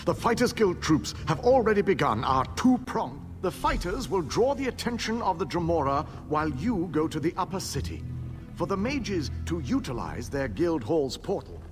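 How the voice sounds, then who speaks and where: A man's voice: fairly high pitch, very animated, normal speed. A man playing an earnest, urgent battle ally briefing a hero in a fantasy video game. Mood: resolute. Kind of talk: video game character acting. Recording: long hall reverb, game music behind.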